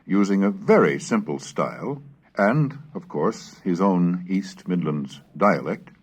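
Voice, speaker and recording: Guy lecturer reading history stuff and old verse, kinda husky, measured but really swoopy intonation. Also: deep voice, lo-fi sound. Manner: measured, scholarly, declamatory when reciting. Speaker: lecturer and reader. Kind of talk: scripted narration on English language history, with Middle English recitations.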